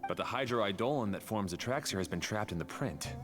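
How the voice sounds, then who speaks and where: A man's voice: lowish voice, lively intonation, brisk, music in the background, close to an everyday voice. An actor playing a proud, fussy male mechanic guide in a video game cutscene, explaining and complaining expressively.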